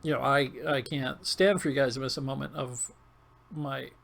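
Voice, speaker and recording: A man with a coarse, hoarse, failing voice giving casual, halting commentary over gameplay. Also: medium pitch, steady tone, a bit bright. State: hoarse, losing his voice. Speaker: gaming video host.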